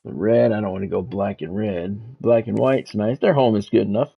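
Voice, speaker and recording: A man's voice: lowish voice, moderate pace, vocal fry, slightly rough. A man giving casual, rambling, conversational commentary as he hosts a football video game stream. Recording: dull lo-fi audio with music behind.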